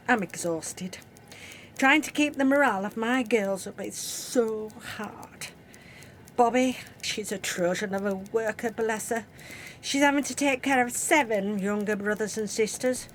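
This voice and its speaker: A woman's voice: medium pitch, slight background noise, natural voice. A woman playing a tired, caring wartime factory supervisor, speaking a diary monologue in a radio play. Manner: weary.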